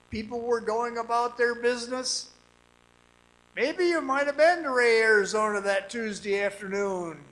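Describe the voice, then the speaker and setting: A haunted miner played by a man recounts his ordeal in a radio drama. His voice is high for a man and he takes his time, deliberate and halting. He sounds folksy and guarded. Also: fairly bright tone, low-quality recording.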